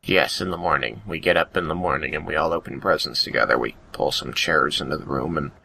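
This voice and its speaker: A man's voice: rumbling low, a little fast, gravelly, sharp tone, slight background noise, poor audio. A man co-hosting a podcast in casual chat, with a jokey, sarcastic way of talking. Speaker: podcast co-host.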